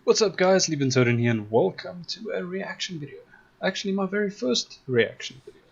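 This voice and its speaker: A man's voice: high-pitched, expressive, a little fast, vocal fry, husky, voice pushed up, full character voice. A man putting on a hyped fake-American YouTuber voice. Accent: forced put-on American attempt. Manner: forced, overdone hype delivery. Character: eager young male YouTuber intro voice. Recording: dull cheap webcam audio.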